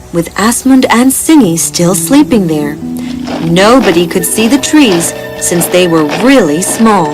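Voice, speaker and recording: A woman's voice: mid-range voice, poor audio. A woman reading a scripted bedtime story as a storyteller. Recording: music behind the voice. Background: background music.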